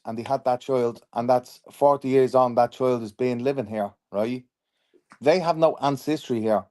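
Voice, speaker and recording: A man in a panel debate, halting as he pushes to be allowed to finish his point. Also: medium pitch, a little mellow, no echo. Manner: halting, asks to be let finish. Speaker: podcast panellist.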